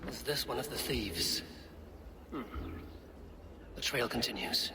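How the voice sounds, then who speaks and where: A steady, gruff male Viking warrior in a video game, with a middling pitch and clear ambient noise behind. He speaks tersely and with measured loyalty. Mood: musing, wary.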